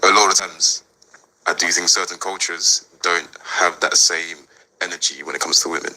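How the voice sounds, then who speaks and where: A man in his twenties from Nigeria, co-hosting a podcast and speaking in a measured, hesitant way. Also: sits low, steady tone, bright, forceful tone, low-quality recording. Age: 20s. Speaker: podcast co-host. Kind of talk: casual panel discussion.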